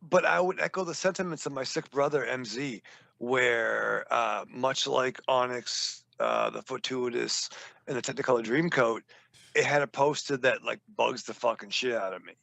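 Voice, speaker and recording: A man with a New England accent trades crude jokes as a podcast co-host. His voice is gravelly yet bright. The sound is dry and close. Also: medium pitch, moderate pace, no room sound. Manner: rambling, joking, swears a lot. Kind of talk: casual, profane banter.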